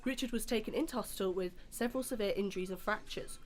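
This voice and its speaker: A woman playing a firm, formal police interrogator in an audio drama, with a measured official manner. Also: medium pitch, natural voice. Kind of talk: dramatic audio scene acting. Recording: clear background noise.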